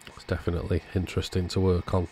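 A man calmly narrating a radio repair at his workbench in a matter-of-fact way. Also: low-pitched, fast, slight background noise. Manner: relaxed, matter-of-fact commentary while working. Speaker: electronics repair channel host. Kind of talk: narrated bench repair tutorial. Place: workbench indoors.

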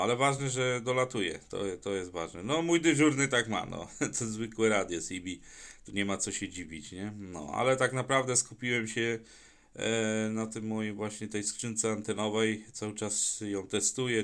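Low-voiced man chatting as a radio operator. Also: fairly bright tone. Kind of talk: casual chat in turns on CB radio.

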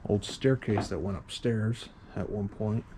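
Male urban-explorer vlogger, warm low-key tone, musing walkthrough on site, faint ambient hiss behind. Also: unhurried. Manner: musing, observational, thinks aloud. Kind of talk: casual on-location walkthrough narration. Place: inside an abandoned train station.

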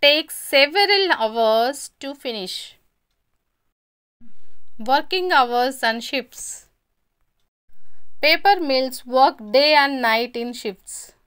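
Female voice-over for a kids' lesson: high, clear, unhurried, reads headings then explains. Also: fairly bright tone. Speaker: narrator of a school lesson video. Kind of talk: scripted lesson narration.

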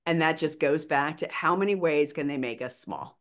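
An older woman with a very low voice, co-hosting a podcast over a video call, speaking earnestly and with conviction. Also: normal speed. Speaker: podcast co-host. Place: small bedroom at home.

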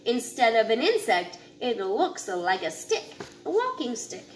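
A woman with a high voice reads a children's picture book aloud at an unhurried, slow pace. Her delivery is deliberate and instructive. It is a home recording with faint background noise. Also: very animated. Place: home living area with kitchen, indoors. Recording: noisy home recording, room ambience.

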